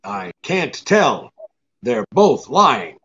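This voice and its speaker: An actor playing a tough, wisecracking, impatient private detective in a radio drama, brusque and sardonic. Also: male voice, mid-range voice, very animated, extremely slow, fairly bright tone, slightly rough, lo-fi sound, heavily acted. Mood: cool. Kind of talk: hardboiled radio drama acting with narration.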